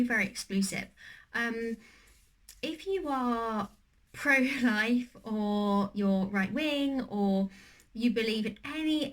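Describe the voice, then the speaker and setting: A young woman with a slight cold, chatting casually in a cooking and eating vlog, rambling with many ums and restarts. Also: fairly high pitch. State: has a cold, slightly wheezy. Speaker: vlogger.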